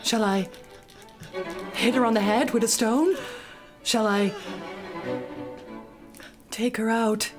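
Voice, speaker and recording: Woman as a dithering Grim Reaper puppet over loud music. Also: a little high, fairly even intonation. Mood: hesitant, scheming. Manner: halting, repetitive, pleading for patience. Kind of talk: live improvised comedy puppet show.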